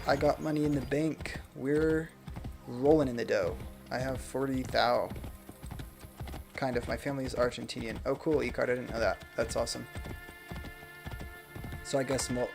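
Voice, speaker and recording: Soft-spoken streamer man with gravelly voice. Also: medium pitch, flat delivery, normal speed, long pauses. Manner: chatty, self-deprecating, a bit soft-spoken. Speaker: game streamer. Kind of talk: casual gameplay chat, reading chat aloud. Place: home room. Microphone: desk microphone. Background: game music.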